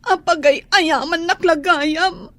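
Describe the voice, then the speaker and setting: A heartbroken young maid in an Ilocano radio drama. Her voice is extremely high and she draws her words out slowly. She sounds tearful, sensitive and proud, with an Ilocano accent. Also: a bit bright, dry recording, heavily acted. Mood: tearful, hurt. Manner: tearful, plaintive, drawn-out delivery.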